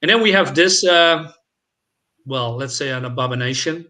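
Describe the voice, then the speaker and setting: A man's voice: mid-range voice, moderate pace, bright, forceful tone. A man giving his opinions as a panelist in a casual, informal livestream chat about films. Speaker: panelist on a film discussion livestream.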